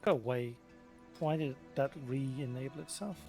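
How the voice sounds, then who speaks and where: A man's voice: mid-range voice, a little slow, darkish tone. A man giving casual gameplay commentary, muttering to himself as he plays. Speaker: let's player. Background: game music.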